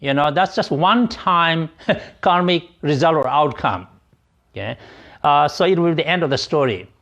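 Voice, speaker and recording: Higher-voiced man, haltingly explaining religious teachings. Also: expressive, fairly bright tone. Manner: halting, searching for words, often rephrasing. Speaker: interpreter of Buddhist teachings. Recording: dull, lo-fi audio.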